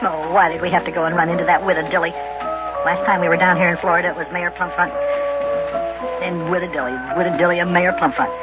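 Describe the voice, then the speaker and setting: A man playing a small, innocent, excitable boy who exclaims and stammers in 1950s children's radio style. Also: high-pitched, fast, close to an everyday voice. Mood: dismayed. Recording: muffled old radio recording with music.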